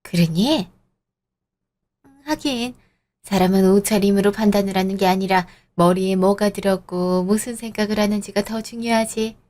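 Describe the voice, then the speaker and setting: A woman's voice: medium pitch, normal speed, a little mellow, natural voice. A woman voicing a snobbish, condescending mother-in-law in her sixties who lectures in an audio drama. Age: 60s.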